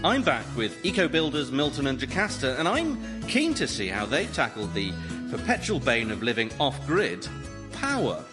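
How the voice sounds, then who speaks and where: A man's voice: medium pitch, wildly swooping pitch, normal speed, music in the background. A male actor playing an earnest, easily baffled property-show presenter in a radio sitcom parody.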